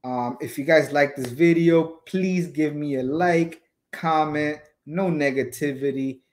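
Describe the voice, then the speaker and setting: A man's voice: a little high, unhurried. A young man vlogging from a small room at his computer, reading crypto news aloud and chatting casually to his viewers. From United States. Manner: casual, chatty, addresses viewers as you guys.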